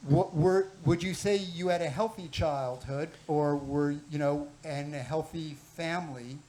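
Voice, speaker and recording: Guy hosting a clinic health panel, kinda high voice, lots of ums and stops. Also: mellow, rough recording. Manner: halting, lots of fillers and restarts. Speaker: panel host, clinic doctor. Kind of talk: moderating a live health panel. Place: clinic event room.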